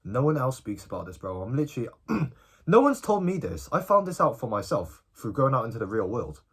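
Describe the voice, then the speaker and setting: A young man of eighteen talks straight to his viewers, his pitch bouncing up and down as he goes. His voice has a husky edge, and he keeps dropping in "bro" and "okay?". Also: brisk. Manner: animated, informal, often tagging lines with "bro" and "okay?". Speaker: self-improvement vlogger. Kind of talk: casual direct-to-camera vlog.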